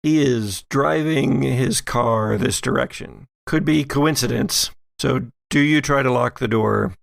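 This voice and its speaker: A man's voice: mid-range voice, a little slow, gravelly, dry recording, studio quality. A man working as game master, improvising narration for a tabletop role-playing game.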